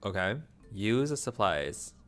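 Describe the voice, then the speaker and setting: A young man with a somewhat low voice chats his way through a game stream. His delivery is flat and low-energy, drifting into jokey, self-deprecating asides. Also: a little slow, soft background music. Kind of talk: casual livestream chat.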